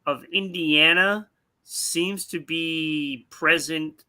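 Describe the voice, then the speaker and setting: A man co-hosting a live sports talk show, giving opinions in a deliberate way with pauses mid-sentence. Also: a little high, steady tone, bright, forceful tone, smooth.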